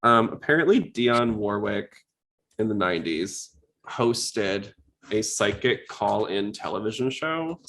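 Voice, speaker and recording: Low-voiced man chatting on a podcast. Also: lively intonation, takes it slowly, a bit bright, poor audio. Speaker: podcast host. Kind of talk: casual fan podcast chat.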